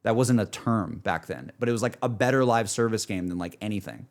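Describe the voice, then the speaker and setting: A man's voice: fairly low pitch, lively intonation, fairly quick. A young adult man chatting casually on a livestream, rambling enthusiastically about gaming in a self-deprecating way. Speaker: streamer.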